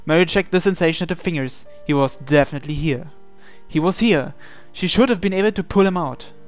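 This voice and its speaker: A man reading scripted, dramatic story narration in a measured, solemn way. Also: on the high side. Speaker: narrator reading translated game text. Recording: music behind the voice. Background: music.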